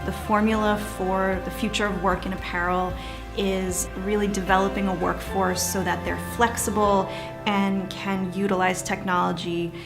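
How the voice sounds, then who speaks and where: Woman founder, emphatic, asking big rhetorical questions, mid pitch, music under. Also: level tone, moderate pace. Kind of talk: documentary interview answers.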